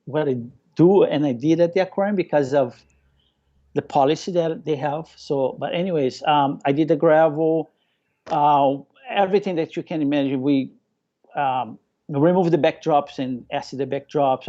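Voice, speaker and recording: A man's voice: fairly high pitch, normal speed, dark tone, dull audio. A man with a Brazilian accent touched by Hawaiian speech, a native Portuguese speaker, chatting enthusiastically as an interview guest and often repeating phrases as he tells stories. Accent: Brazilian with a local Hawaiian touch. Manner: enthusiastic, repeats phrases, acts out remembered remarks. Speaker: guest, hobbyist aquarium keeper. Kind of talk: casual live interview storytelling.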